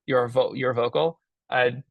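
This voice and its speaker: A man giving an informal lesson as an audio engineer, explaining his tools in a halting way as he thinks aloud. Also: medium pitch, steady tone, creaky, dry recording, narrow, tinny audio. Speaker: mixing engineer teaching a class.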